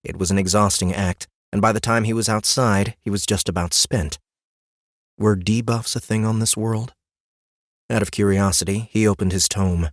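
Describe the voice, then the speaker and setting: A male narrator reads a fantasy audiobook in a low voice. His storytelling is expressive and deliberate, and the studio sound is very dry, with no room around it. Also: no echo.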